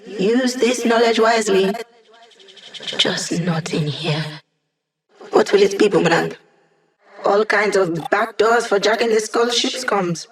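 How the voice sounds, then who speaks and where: A woman's voice: medium pitch, slow, long pauses, low-quality recording. A woman playing a brainy, wry digital hacker in a video game, talking casually and playfully as the squad's tech support. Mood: dry, teasing. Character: cheeky, brainy female hacker, a digital holographic young woman.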